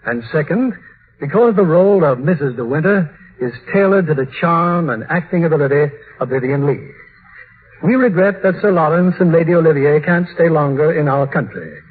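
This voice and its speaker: A man's voice: a little high, moderate pace. A man hosting a 1950 radio theatre, reading a scripted introduction in a formal announcer's manner. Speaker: radio host. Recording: muffled, husky-sounding old radio broadcast.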